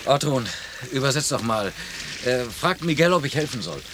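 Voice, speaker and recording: A man's voice: mid-range voice, expressive, normal speed, background noise, close to an everyday voice. An actor playing a casual, probing man on holiday with friends in a radio drama. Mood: eager. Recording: 1990 radio drama, faint music.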